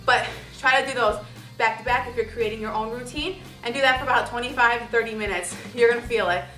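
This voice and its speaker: A woman in her thirties coaches a workout in an upbeat way, her voice high and bright. She is picked up from across an echoey home gym room, with faint music behind her. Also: quick pace, far from the mic. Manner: upbeat coaching, counting out reps. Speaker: fitness trainer. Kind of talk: workout instruction. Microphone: camera mic from across the room.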